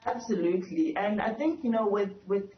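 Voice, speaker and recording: A woman's voice: mid-range voice, brisk. A woman speaking earnestly as an expert guest in a news interview, explaining things and repeating words for emphasis. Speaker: author and educator, interview guest. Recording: dull, echoey lo-fi remote link.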